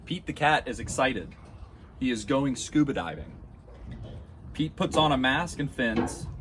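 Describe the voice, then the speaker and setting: Expressive man reading a storybook, noisy outdoors. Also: unhurried. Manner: expressive, simple phrasing for young children. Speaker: storyteller reading a children's book aloud. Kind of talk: children's storybook read-aloud. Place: outdoors at a coastal snorkel reef. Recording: noisy outdoor recording with ambient rumble.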